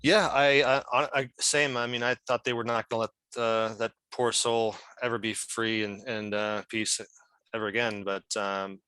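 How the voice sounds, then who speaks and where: A man answering questions at an online meeting, speaking earnestly in a halting, hesitant way. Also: mid-range voice, level tone, pushed and bright, clear, resonant tone, dry recording. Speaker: legal team member as guest speaker. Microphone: video call.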